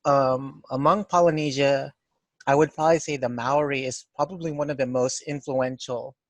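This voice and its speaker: A man with a Filipino accent hosting a relaxed art history lesson, asking his guest questions and laughing easily. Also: mid-range voice, moderate pace, no room sound. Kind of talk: casual classroom discussion.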